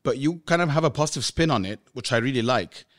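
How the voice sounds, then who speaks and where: A man's voice: medium pitch, expressive, fast. A man with an ordinary mid-range voice hosting an interview podcast. He reflects his guest's points back, summing them up and affirming them. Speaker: podcast host.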